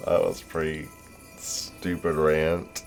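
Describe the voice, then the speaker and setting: A man's voice: rumbling low, completely flat delivery, slow, gravelly. A man reacting casually on a live game stream in an offhand, irreverent way. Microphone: streaming mic. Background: faint game music.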